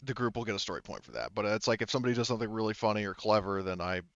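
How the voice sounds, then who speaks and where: A man's voice: on the low side, lively intonation, racing, a bit bright, no echo. A man chatting casually as game master after a tabletop game session, informal and freely swearing, on a slightly dull mic.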